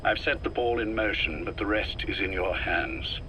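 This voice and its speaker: Casting: older, sickly spy chief for a game. Coolly polite yet threatening, deliberate, bright forceful tone, faintly noisy recording. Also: male voice, lowish voice. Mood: quietly persuasive. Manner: measured, deliberate, politely menacing. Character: ailing, calculating older male intelligence chief, calm and controlling. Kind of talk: scripted game dialogue, dramatic acting.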